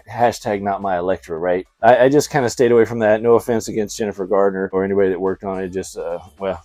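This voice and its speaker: A man's voice: on the low side, dark tone, no room sound. A middle-aged man hosting a casual movie review, talking in a slangy, effusive and emphatic fan's way. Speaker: video host, movie reviewer.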